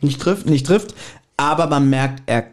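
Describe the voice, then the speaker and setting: A man's voice: fairly high pitch, measured pace, over loud music. A man chatting casually as a podcast co-host about an audio drama.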